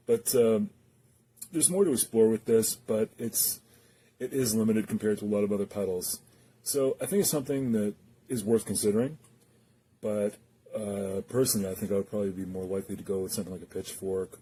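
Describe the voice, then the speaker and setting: Male gear reviewer, very mellow dark tone, unscripted and halting, faint background noise. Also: low voice. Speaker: guitar pedal reviewer. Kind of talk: casual unscripted product review and demonstration.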